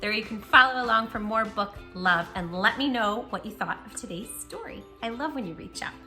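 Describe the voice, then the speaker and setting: Very animated, bright-voiced woman hosting children's story time. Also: on the high side, fairly quick, soft background music. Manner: playful, intimate direct address to a child. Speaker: children's book read-aloud host. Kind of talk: animated read-aloud for young children.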